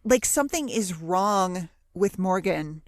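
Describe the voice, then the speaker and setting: A woman co-hosting a podcast, discussing in a casual but analytical way, measured and pausing mid-phrase. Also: lowish voice, lively intonation, no echo, clean studio sound. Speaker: podcast co-host.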